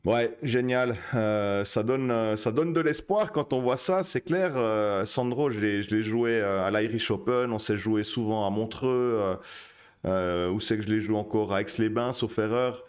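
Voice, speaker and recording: A man's voice: lowish voice, normal speed, clear, resonant tone. A man hosting a casual poker live stream, talking with many fillers and restarts. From Switzerland.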